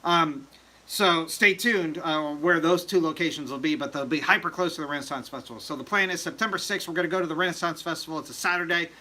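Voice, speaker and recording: A man's voice: a little high, fairly even intonation, fast, sharp tone, low-quality recording. A man hosting a casual livestream chat, rambling with lots of fillers and joking with viewers. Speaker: livestream host.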